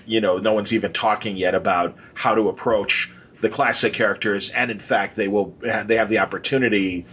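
A man's voice: lowish voice, steady tone, normal speed, bright, forceful tone. Casual male podcast host, faint background noise. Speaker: podcast interviewer. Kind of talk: casual conversation about comics.

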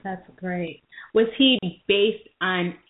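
A woman's voice: medium pitch, takes it slowly. A woman hosting an interview, asking casual, conversational questions. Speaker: interview host. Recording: dull, lo-fi internet call audio.

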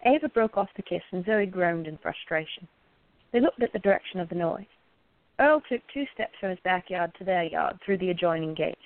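Lowish-voiced woman narrator, thin phone-like sound. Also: expressive, fairly quick, a little hiss or hum. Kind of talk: scripted narration of a romantic radio play.

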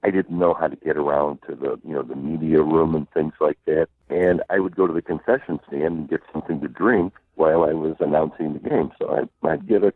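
Over a narrow, dry phone line comes a man's extremely deep baritone. He is an announcer by trade, chatting casually as a radio guest and telling stories in an easy conversational style. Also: fairly even intonation, fairly quick, hardly any pauses, no room sound. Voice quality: booming baritone. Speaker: interview guest, public address announcer. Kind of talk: casual anecdotal interview.

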